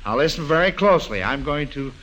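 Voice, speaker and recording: A man's voice: on the high side, animated, fast, a bit bright, lo-fi sound. A man hosting a 1940s radio quiz show in a folksy, avuncular way, repeating answers back, on an old broadcast recording.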